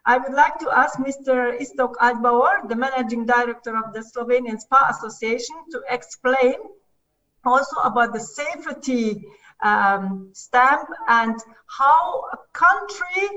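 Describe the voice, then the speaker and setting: Woman moderator, higher voice, a bit distant from the mic, dull sound, hesitant delivery. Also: fairly bright tone. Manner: hesitant, with fillers and restarts. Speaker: forum moderator. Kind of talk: conference moderating, unscripted. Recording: distant, dull online conference audio.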